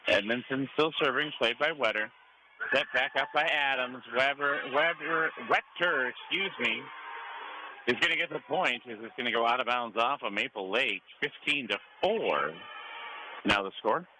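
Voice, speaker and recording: A man's voice: medium pitch, normal speed. An American man giving live play-by-play radio commentary on a volleyball match. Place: indoor school gym during a match. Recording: noisy gym ambience behind commentary.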